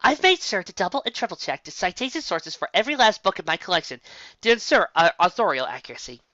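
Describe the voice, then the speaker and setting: A woman's voice: medium pitch, very animated, quick pace, a bit bright, dry recording, highs cut off, pitched above the performer's own voice. A woman voicing a bookish young female unicorn scholar who lectures eagerly and precisely. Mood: earnest lecturing.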